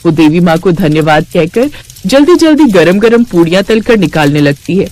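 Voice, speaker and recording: A woman's voice: on the low side, expressive, normal speed, darkish tone, no room sound. A woman narrating a scripted moral bedtime story.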